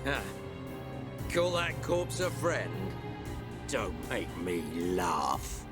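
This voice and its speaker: A man's voice: mid-range voice, big pitch swings, extremely slow, over loud music. A man voicing a mocking, callous traitor in a video game, sneering and taunting. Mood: mocking, gloating. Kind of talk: video game cutscene acting.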